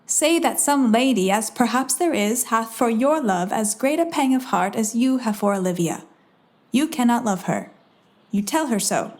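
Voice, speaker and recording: A woman playing an earnest young page, a girl disguised as a boy, in a Shakespearean audio drama, gently insistent. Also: mid-range voice, expressive, normal speed, close to an everyday voice.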